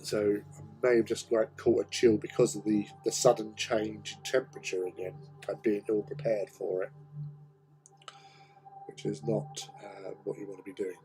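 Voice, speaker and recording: A man with a hoarse, croaky voice from a cold, chatting casually and rambling with many pauses while hosting a hobby stream. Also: lowish voice, flat delivery, warm tone, soft background music, poor audio. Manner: rambling, hesitant, many ums. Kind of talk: casual chat while painting.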